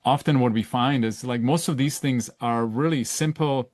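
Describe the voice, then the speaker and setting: A middle-aged man from Czechoslovakia hosts a casual podcast chat at a mid pitch. His voice is close and very dry. He gropes for words, restarts his sentences and checks in with the listener as he talks. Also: moderate pace, a little mellow, no room sound. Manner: searching, self-correcting, with frequent "right?" tags. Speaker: podcast host. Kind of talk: casual conversational interview.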